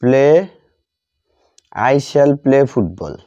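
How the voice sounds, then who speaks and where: Very slow-paced Bengali-accented male teacher. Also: medium pitch, lively intonation, mellow, no room sound. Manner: deliberate, dictating word by word with repetition. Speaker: English grammar teacher. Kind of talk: instructional lesson, bilingual grammar explanation. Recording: dull lo-fi sound.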